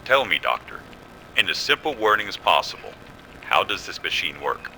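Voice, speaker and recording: Stern, doubting general played by a man, low and very forceful, blunt questions, audio drama with faint ambient noise. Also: sing-song, moderate pace, vocal fry, full character voice, low-quality recording. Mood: skeptical. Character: skeptical, stern military general.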